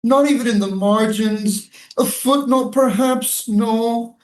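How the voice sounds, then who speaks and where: A man's voice: very high-pitched, slow pace, darkish tone. A man in a staged play reading, playing a defensive, sardonic American military prison guard. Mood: bitter, wounded. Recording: roomy, echoing live staged reading.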